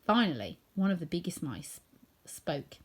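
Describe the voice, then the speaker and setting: Casting: female children's story narrator, husky, highish, with lively, expressive intonation. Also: moderate pace. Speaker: storybook reader.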